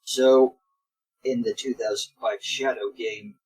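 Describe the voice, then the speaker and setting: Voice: mid-range voice, fairly even intonation, slow, darkish tone, husky, rough recording. A reader casually explaining and narrating fan fiction to a group of friends. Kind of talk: casual explaining and read-aloud.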